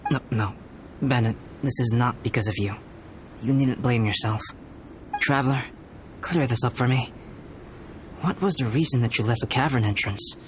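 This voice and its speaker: A man playing a composed, analytical young alchemist knight in a video game, speaking in a measured, formal, precise way. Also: medium pitch, a little hiss or hum, lightly acted, low-quality recording. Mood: calm, reassuring. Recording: music behind the voice.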